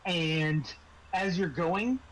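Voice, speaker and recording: A man's voice: a little high, drawn-out words, fairly bright tone, slight background noise, rough recording. A man narrating a tabletop horror game as game master, in a conversational, descriptive storytelling way.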